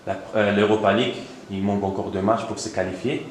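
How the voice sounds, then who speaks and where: A man with a slightly low voice puts questions in an informal sports interview. The room is strongly echoey, with faint noise behind him. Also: level tone, fairly quick.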